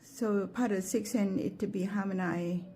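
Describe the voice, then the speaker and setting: Gentle Thai-accented woman with halting speech. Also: mid-range voice, steady tone, soft background music. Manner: gentle, halting, with many fillers and restarts. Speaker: gardening vlogger. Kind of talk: unscripted walking tour vlog.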